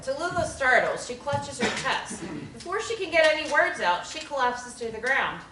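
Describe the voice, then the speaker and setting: A woman's voice: sits high, very animated, normal speed. Woman reading stage directions. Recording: roomy, distant mic with background noise.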